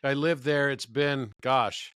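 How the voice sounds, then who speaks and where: A man's voice: mid-range voice, fairly even intonation, slow pace, fairly bright tone, clean tone, no room sound, clean studio sound. A man in his fifties, a guest in a conversational interview, telling stories with enthusiasm. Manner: enthusiastic, storytelling.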